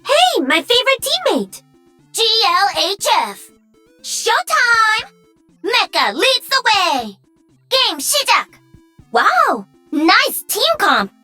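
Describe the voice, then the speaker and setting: A woman's voice: extremely high pitch, huge pitch swings, takes it slowly, pushed and bright, faint music behind, full character voice. A woman voicing a perky, cocky young female pro-gamer mech pilot for a video game. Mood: excited. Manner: bubbly, cocky, teasing.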